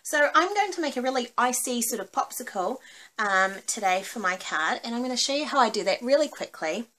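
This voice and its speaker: A woman's voice: a little high, lively intonation, a little fast, fairly bright tone. Chatty New Zealand woman. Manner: chatty, informal, cheerful. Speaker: craft tutorial host. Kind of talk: casual craft demonstration.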